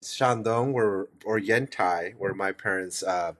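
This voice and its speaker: Male guest, 30s, US-raised, lowish voice, loose rambling storyteller. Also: slow, fairly bright tone. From United States. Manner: rambling, with frequent restarts and fillers. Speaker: interview guest. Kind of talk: casual interview storytelling.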